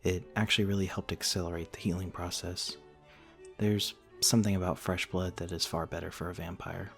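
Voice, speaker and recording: A man voicing a courteous, gentle, old-fashioned vampire in an ASMR roleplay, speaking in formal, polite and slightly hesitant phrases to the listener. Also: sits low, little pitch movement, fairly quick, creaky, faint music behind. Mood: grateful, shy. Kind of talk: ASMR roleplay, soft-mannered dramatic monologue to the listener.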